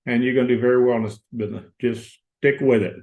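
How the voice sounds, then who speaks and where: A man's voice: mid-range voice, steady tone, fast talker, phone-quality sound. Man from Florida, easygoing mentor type, rambling motivational chat on a team call. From Florida, United States. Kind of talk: casual motivational talk.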